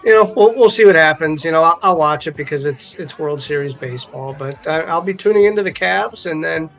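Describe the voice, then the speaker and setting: A man's voice: on the high side, a little fast, gravelly, a bit bright, faint music behind, low-quality recording. A man co-hosting a sports show with casual chat, often repeating words as he goes. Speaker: co-host of a sports card live stream.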